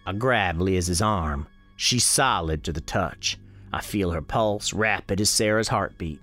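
Folksy southern storekeeper narrating spooky radio play, unhurried and ominous, music behind. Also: male voice, sits low, a bit bright. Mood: tense, grave. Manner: measured, ominous storytelling. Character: folksy, grave storekeeper narrating an eerie ghost tale. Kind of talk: scripted dramatic narration, radio drama.

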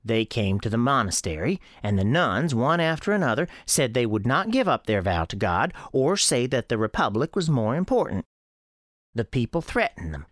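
A woman voicing a young girl with a high girlish voice and a folksy, childlike storytelling manner in an audio drama. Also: sing-song, normal speed, no room sound, clean studio sound, full character voice. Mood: earnest. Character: young Southern girl Sarah, earnest, defiant, chatty. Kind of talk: acted audio drama dialogue.

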